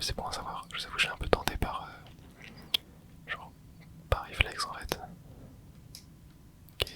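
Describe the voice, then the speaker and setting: Whispering young man, hushed game commentary. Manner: soft close whisper, relaxed running reactions. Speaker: ASMR gaming channel host. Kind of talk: whispered ASMR gameplay commentary.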